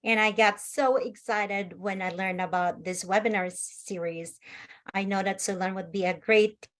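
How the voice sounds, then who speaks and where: A woman's voice: mid-range voice, fairly even intonation, a little slow, a bit bright. A woman teacher co-facilitating an online workshop, speaking effusively and earnestly over a video call. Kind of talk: workshop opening thanks.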